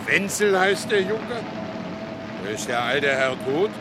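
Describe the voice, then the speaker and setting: An actor playing a proud, law-abiding horse dealer in a German radio play, speaking in an emphatic, theatrical way. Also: male voice, high voice, expressive, measured pace, very noisy. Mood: wry.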